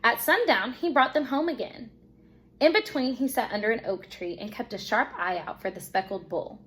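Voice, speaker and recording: A woman with a fairly high voice reads a children's story aloud. She narrates expressively, as a teacher would for a class. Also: lively intonation, normal speed, a bit bright.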